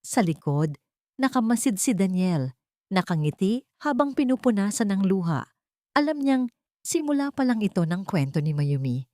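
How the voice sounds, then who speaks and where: A woman's voice: mid-range voice, very animated, moderate pace, warm tone, no room sound, studio quality. A woman with a Filipino accent narrating a scripted dramatic story.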